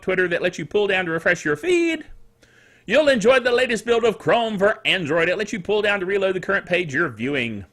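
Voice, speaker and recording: An older man hosting a casual solo tech show, rambling playfully like a self-styled curmudgeon, stretching words for emphasis and tossing in spoken sound effects. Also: high voice. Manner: animated, emphatic delivery with drawn-out words. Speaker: podcast host. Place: small home studio.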